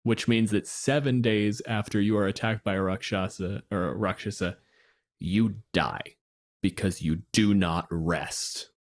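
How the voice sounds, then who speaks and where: A man co-hosting a casual podcast chat, reading out game rules in a measured, explanatory way. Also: on the low side, fairly even intonation. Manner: reads stat blocks in a measured, explanatory way. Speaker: podcast co-host.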